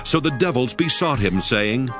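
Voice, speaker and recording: A man with a mid-pitched, very expressive voice narrates scripture. His storytelling is formal and solemn, with clear music playing behind him. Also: moderate pace.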